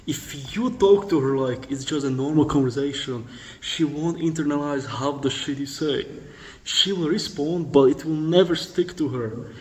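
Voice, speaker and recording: A man's voice: mid-range voice, a little mellow, rough recording. A man giving blunt, profane dating instruction as a voice-over, lecturing and addressing the listener directly. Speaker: dating coach.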